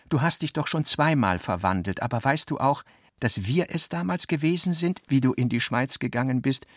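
A measured, articulate male narrator for a literary radio play. His mid-pitched voice shifts into quoted dialogue, in a very dry studio recording. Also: no room sound. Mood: observant. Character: literary male narrator recounting and quoting his guest's talk.

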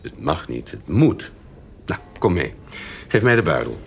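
Gruff roguish trader, really deep but lively, radio play, noisy ambience behind. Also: male voice, a little slow, full character voice. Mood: brisk, gruff. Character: gruff, devoted male companion, roguish trader. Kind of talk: Dutch radio drama acting. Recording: clear background ambience noise.

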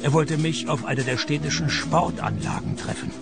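Wry detective, creaky voice. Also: male voice, a little high, normal speed, loud music behind the voice. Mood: dry, laconic. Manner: deadpan, sardonic. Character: wry, deadpan male private detective, mature and flippant. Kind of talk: radio play acting with first-person narration.

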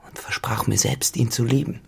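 An actor playing a cynical, dissolute farmhand turned tenant in a literary radio drama, grave and deliberate in manner. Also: male voice, medium pitch, steady tone, vocal fry, breathy edge, heavily acted. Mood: brooding, confessional.

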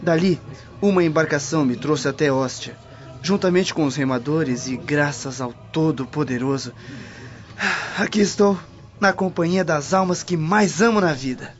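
A man's voice: a little high, moderate pace, soft background music, lightly acted. A man playing a devout, courteous young man in a radio drama, earnest and formal. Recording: dull lo-fi radio drama recording.

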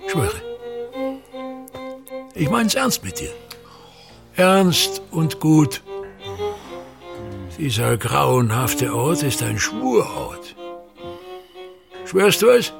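An ageing, bullying theatre director in a radio drama, grandiose and full of scorn. His husky male voice sits fairly high and rises and falls dramatically. He declaims at a slow pace, with music behind him. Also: very animated, exaggerated voice. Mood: demanding. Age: older. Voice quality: gravelly, husky. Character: pompous, tyrannical ageing male theatre actor-manager berating his son.

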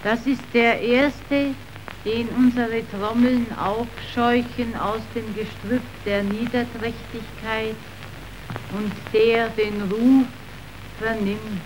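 A woman's voice: a little high, steady tone, slight background noise, poor audio. An actress playing an earnest, idealistic young woman preacher in a solemn radio drama. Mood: earnest, resolute. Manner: solemn, deliberate. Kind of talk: declaimed radio drama acting.

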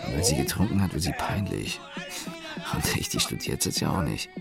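A deep, creaky-voiced fault-finder in a radio play. His scornful, pedantic delivery swings widely in pitch, with loud music playing behind him. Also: sing-song, a little fast, a loud crowd, exaggerated voice. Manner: disparaging, pedantic. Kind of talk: radio drama acting with commentary asides.

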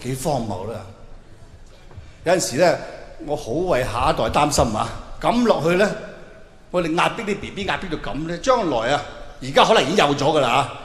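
A man's voice: fairly high pitch, a little fast. A man with a Hong Kong Cantonese accent doing live stand-up comedy, telling stories with mock dialogue and asking the audience questions. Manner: animated, mimics characters in mock dialogues, rhetorical questions. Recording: echoey hall with long reverb, faint noise.

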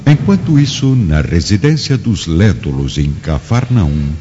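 A man with a very deep voice narrates a radio drama in a Brazilian accent. His delivery is dramatic and widely inflected, drenched in reverb with music behind him. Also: sing-song, unhurried. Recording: dull lo-fi, long reverb.

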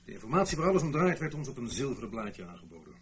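A man acting in a radio play as a matter-of-fact intelligence agent, briefing in an emphatic, deliberate manner. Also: medium pitch, sing-song, natural voice. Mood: businesslike, suspenseful.

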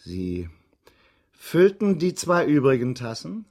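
An actor in a German radio drama playing a police inspector who probes a suspect with short, prompting questions in a husky voice. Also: male voice, medium pitch, sing-song, slow, darkish tone, exaggerated voice. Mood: pressing.

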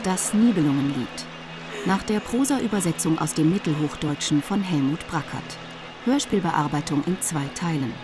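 A female narrator reads a scripted summary and announcement for a radio drama. Her voice is on the low side, with clear music underneath. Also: moderate pace.

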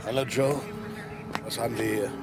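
An actor playing a middle-aged, world-weary, matter-of-fact police sergeant in a German radio drama. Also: male voice, medium pitch, fairly even intonation, normal speed, heavy background noise, close to an everyday voice. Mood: reflective, weary.

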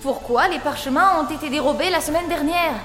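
An actress playing a bold, quick-witted woman in an audio drama, composed, sardonic and commanding. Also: sits high, natural voice. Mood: mocking calm. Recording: echoey long reverb with music.

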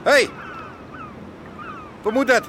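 Gruff skipper amid noise. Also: male voice, very high-pitched, very slow, bright, forceful tone, full character voice. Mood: guarded, gruff. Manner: gruff, guarded, terse. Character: gruff, cagey smuggling skipper. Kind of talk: Dutch radio drama acting.

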